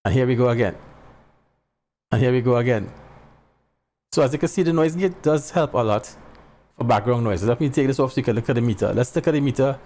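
A man's voice: mid-range voice, fairly quick, pauses often, a little mellow. Plain-spoken man on a headset mic. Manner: plain, explanatory, talks straight to viewers. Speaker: channel host. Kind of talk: casual tech demo talk. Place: small home room. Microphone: headset boom mic. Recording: faint background birdsong noise. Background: faint birds chirping.

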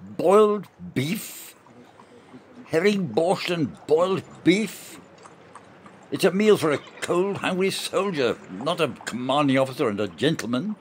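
Man acting a stubborn, food-relishing officer, animated delivery. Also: a little high, a little hiss or hum. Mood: teasing challenge. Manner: savoring, emphatic, drawn-out descriptions. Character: stubborn, gloating older male soldier-officer relishing imagined food. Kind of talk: amateur radio play acting.

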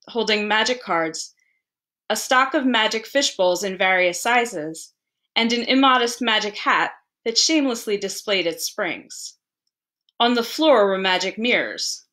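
A woman reading a story aloud as narrator, with deliberate, theatrical phrasing. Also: mid-range voice, pushed and bright. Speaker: library staff reader.

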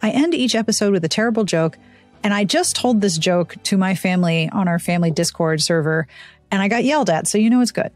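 A woman's voice: mid-range voice, expressive, fast, no room sound, soft background music. A woman hosting a podcast in a casual, conversational style. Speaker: podcast host.

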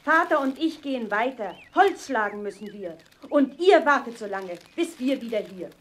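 A hard-hearted fairy-tale stepmother with a high, swooping voice. She scolds and orders in sharp, theatrical lines for a children's audio play. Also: expressive, moderate pace, a little hiss or hum. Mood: cold, commanding. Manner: sharp, commanding rhymed lines.

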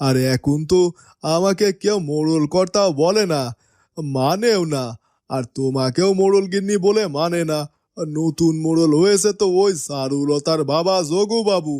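A man's voice: a little high, warm tone, lightly acted. An actor voicing a grumbling, reproachful former village headman in a cartoon. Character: aggrieved older ex-village-headman. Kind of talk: cartoon dubbing.

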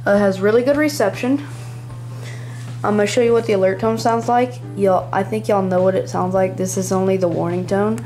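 A hobbyist giving a casual, rambling show-and-tell in a small room at home, speaking haltingly near a handheld camera. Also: mid-range voice, steady tone, a little mellow, faint music behind. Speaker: hobbyist presenting a radio collection. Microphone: handheld camera close by.

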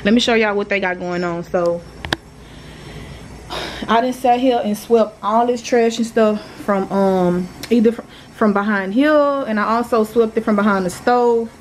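A woman's voice: medium pitch, steady tone, normal speed, slight background noise, low-quality recording. A young woman with a Southern US accent, a single mother chatting loosely through a home cleaning vlog and scolding her kids. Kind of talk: casual home vlog chat. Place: apartment living room and kitchen, indoors. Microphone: handheld phone camera.

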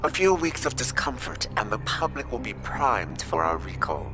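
An actor playing a cool, controlled conspirator in a video game, speaking formally. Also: male voice, fairly low pitch, sing-song, moderate pace, over music. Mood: cool, assured.

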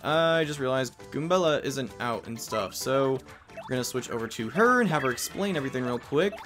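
A man's voice: medium pitch, lively intonation, fairly quick. A man casually commenting on a video game as he plays, rambling with jokey asides. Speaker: let's play host. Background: game music.

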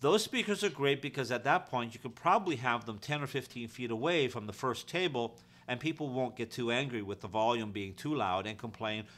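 A man's voice: mid-range voice, brisk, few pauses, a bit bright. A man giving an informal, practical how-to explanation in a conversational way, as a technician teaching his trade. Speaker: audio-visual technician and instructor.

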